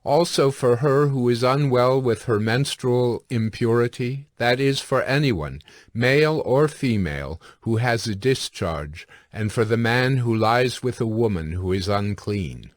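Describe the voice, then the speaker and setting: Male scripture reader. Middle register, formal scripted narration, measured phrasing, dry studio-style sound. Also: medium pitch, animated, no echo.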